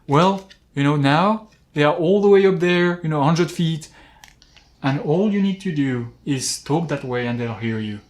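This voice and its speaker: A man talking casually as an outdoor climbing vlogger, explaining gear with examples in a halting, rambling way. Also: on the high side. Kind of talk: casual product-talk vlog, explaining with examples.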